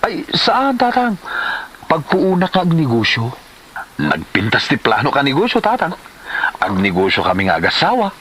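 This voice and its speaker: A man with an Ilocano accent playing a scheming, smooth-talking gambler husband in a comedy drama, with an animated, exclamatory delivery. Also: on the high side, normal speed, a little hiss or hum, low-quality recording. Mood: coaxing.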